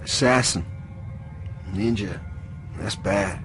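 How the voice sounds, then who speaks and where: An actor playing a cynical, desperate young hacker in a radio drama, informal, streetwise and emotionally raw. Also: male voice, fairly low pitch, slow pace, music in the background. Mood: sullen, uneasy.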